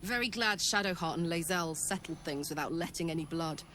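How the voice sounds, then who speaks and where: A man's voice: on the high side, a little fast, bright, forceful tone, slight background noise. An actor playing a flippant, gleefully bloodthirsty male dandy adventurer in a video game, arch and theatrical. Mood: amused, sardonic.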